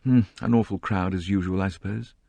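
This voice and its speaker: A man's voice: low-pitched, steady tone, brisk, gravelly, mellow, no echo. A man voicing an offhand, disparaging young man with a drawling manner.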